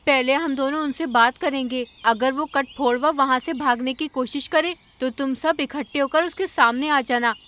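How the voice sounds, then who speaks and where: A woman's voice: high voice, bright, forceful tone. A woman voicing a brave, clever bird in a cartoon, firm, earnest and commanding.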